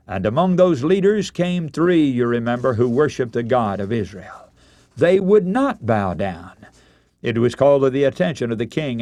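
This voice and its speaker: A man's voice: mid-range voice, darkish tone, dry recording. A man preaching a radio sermon, retelling Bible stories in dramatic, emphatic oratory and addressing his listeners as friends.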